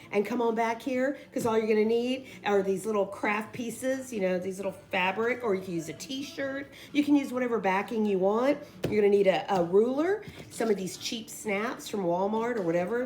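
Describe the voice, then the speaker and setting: A woman who is losing her voice, hoarsely chatting her way through a casual craft tutorial in a rambling, informal way. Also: mid-range voice, darkish tone. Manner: rambling, informal, addresses viewers as guys. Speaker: craft video host.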